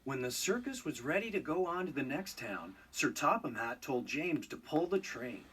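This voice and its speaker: A man narrating a children's read-along storybook in an expressive, scripted storytelling style. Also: a little high, moderate pace, slightly rough, far from the mic, rough recording.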